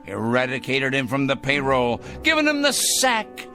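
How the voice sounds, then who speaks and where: एक अभिनेता एक घमंडी, खुद को धर्मी मानने वाले अधेड़ उम्र के सनकी आदमी की भूमिका निभा रहा है, जो पुराने टीवी नाटक की रिकॉर्डिंग में हल्के संगीत के साथ है।. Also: male voice, mid-range voice, normal speed. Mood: sanctimonious. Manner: pompous, sanctimonious, lively. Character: self-righteous middle-aged male letter-writing crank. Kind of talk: 1960s TV drama acting. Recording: old TV soundtrack with faint music.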